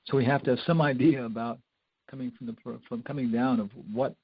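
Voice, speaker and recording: Older man, gravelly informal spiritual lecturer. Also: mid-range voice, fairly even intonation, a little fast, no echo. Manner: rambling, conversational, with wry humour. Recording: dull lo-fi lecture recording.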